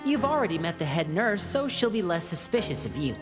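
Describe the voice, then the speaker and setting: A woman's voice: fairly low pitch, big pitch swings, a little fast. A woman playing a composed, polite and calculating young male magician in a video game. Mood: earnest, instructing. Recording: game music behind the voice.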